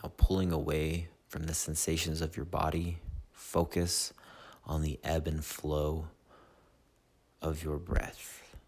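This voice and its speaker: A man leading a guided meditation in a soothing, measured way, with long pauses between short phrases. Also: a bass voice, monotone, darkish tone, breathy edge. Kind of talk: slow guided meditation narration.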